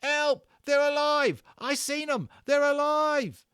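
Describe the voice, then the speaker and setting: A man's voice: very high-pitched, slow, fairly bright tone, no echo, studio quality, voice pushed up, heavily acted. A man voicing a thuggish young skinhead burglar in a storybook reading, with coarse slangy speech and a greedy, panicky temper. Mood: howling panic. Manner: coarse slangy speech, howling when hurt.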